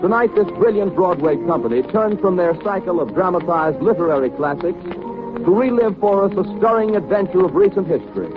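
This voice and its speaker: Tinny 1930s male radio announcer over music. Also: sits high.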